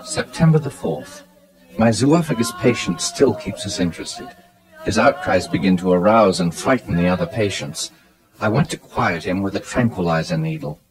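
An actor playing an earnest, attentive asylum doctor in a radio drama. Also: male voice, low voice, lively intonation, moderate pace, a crowd in the background, poor audio. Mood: firm, clinical.

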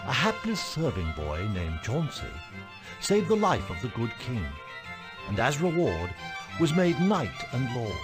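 A man's voice: medium pitch, big pitch swings, measured pace, over music. A man narrating a game intro as a storybook tale, in a dramatic, theatrical manner.

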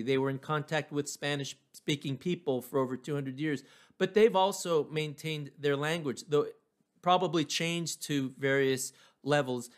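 A man from New Mexico, giving an informal, explanatory history lecture. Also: mid-range voice. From New Mexico, United States.

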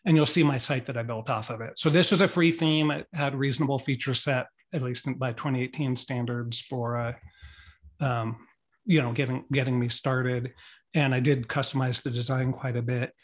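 Middle-aged guy on a webcam call, walking folks through his screen, lots of ums and restarts. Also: medium pitch. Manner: relaxed, rambling with many ums and restarts. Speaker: meetup presenter. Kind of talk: casual screen-share walkthrough. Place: home room. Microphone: webcam on a video call.

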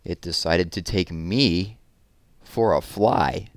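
Man, thirties, low pitch, children's read-aloud style, deliberate emphasis, dry headset recording. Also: no echo. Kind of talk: children's picture book read-aloud with casual asides. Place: small room at home, indoors.

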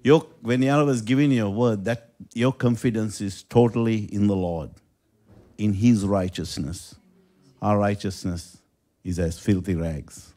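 A man's voice: fairly low pitch, steady tone, a little slow, dark tone. A man preaching energetically to a congregation in a small church hall, urging them on with a handheld microphone. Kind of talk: sermon. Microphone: handheld mic.

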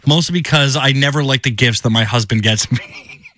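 A man's voice: mid-range voice, normal speed, pushed and bright, dry recording. A man hosting on radio, chatting in an upbeat style on a close studio microphone. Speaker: radio host. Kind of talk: upbeat radio link chat.

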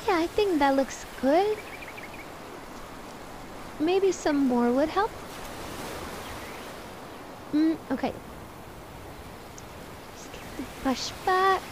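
A woman as the bossy, dramatic young mom in a homemade dollhouse cartoon roleplay, high voice over faint noise. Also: expressive, very slow, long pauses, full character voice. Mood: musing. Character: bossy, disapproving young mom of a little girl. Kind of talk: amateur voiced family roleplay.

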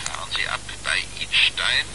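A man's voice: moderate pace, bright, forceful tone. An actor playing an urgent man reading out a tip in clipped fragments in a radio crime drama. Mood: tense, secretive. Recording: slightly noisy phone call.

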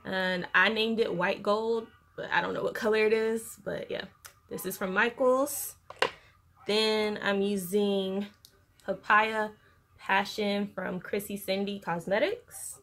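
Young woman, beauty vlogger, casual slangy tutorial talk, medium pitch, bedroom recording, faint noise. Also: steady tone, slow, fairly bright tone. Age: young adult. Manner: informal, slangy, chatty. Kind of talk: casual makeup tutorial chat. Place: bedroom, indoors. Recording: noticeable room noise.

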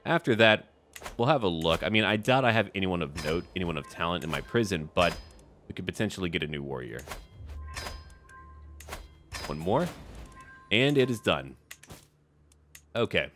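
Male gaming host, low register, very varied intonation, offhand delivery, talks to the on-screen characters. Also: lively intonation, normal speed, long pauses, fairly bright tone, soft background music. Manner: talks aloud to game characters. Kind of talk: casual gameplay commentary.

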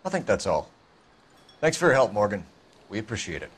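A man's voice: fairly low pitch, big pitch swings, brisk, husky. A man playing a stern, determined police detective in a video game, pressing suspects in a firm, accusatory, commanding way. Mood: curt, businesslike. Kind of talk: scripted video game acting.

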